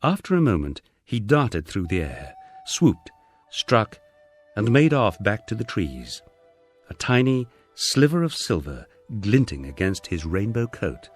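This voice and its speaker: A man narrating a first-person memoir as an audiobook, reading in a measured, expressive storytelling manner. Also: lowish voice, slightly rough, faint music behind.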